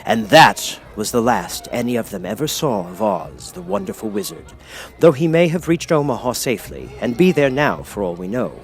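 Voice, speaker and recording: A man's voice: fairly low pitch, sing-song, moderate pace, rough recording. A man reading a children's story as scripted audiobook narration. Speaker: audiobook reader.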